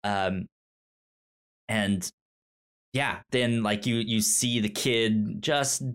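A man talks casually as a film podcast co-host in an informal, rambling way. His speech is halting, with a stutter that repeats sounds and syllables before words come out. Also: fairly low pitch, a bit bright. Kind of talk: casual movie discussion.

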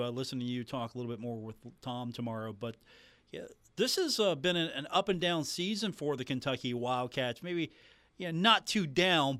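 Male sports radio host, smooth clean voice, dry close sound, conversational delivery. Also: mid-range voice, a bit bright, dry recording.

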